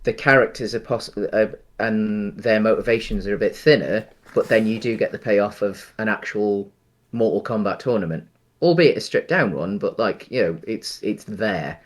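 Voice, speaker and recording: A man co-hosting a casual film discussion, speaking in a halting, hesitant way with frequent ums. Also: fairly low pitch. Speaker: podcast co-host.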